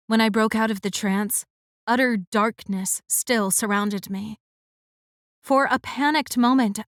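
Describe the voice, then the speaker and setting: Female audiobook narrator, slightly high voice, measured and expressive, dry close sound. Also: no echo. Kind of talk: first-person romance audiobook narration.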